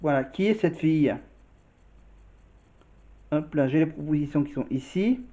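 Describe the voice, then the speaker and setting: Male vlog host, casual and repetitive, soft-edged tone, roomy dull sound. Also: on the high side, animated, measured pace, a little hiss or hum. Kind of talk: casual video commentary with quiz questions.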